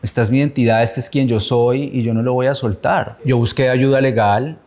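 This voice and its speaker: A man in his thirties from Bogotá with a Colombian accent, giving a reflective personal testimony, measured and often searching for words. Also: mid-range voice, fairly even intonation, a little mellow.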